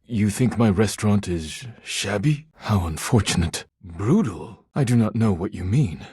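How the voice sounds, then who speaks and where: A man voicing a solemn, proud, stern Japanese omakase chef in a video game, speaking in formal, measured phrases. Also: lowish voice, expressive, a little mellow, husky, no room sound, studio quality, heavily acted. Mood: wounded, restrained, then stern, offended. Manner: formal, measured, clipped phrasing without contractions. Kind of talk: scripted video game character dialogue.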